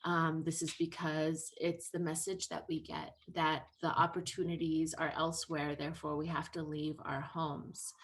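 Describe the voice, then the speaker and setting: A woman in her thirties speaks earnestly through a laptop mic on a video call. Her voice sits a little low, and she talks loosely, with plenty of ums and restarts. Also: a bit bright. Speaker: presenter. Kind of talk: online presentation talk.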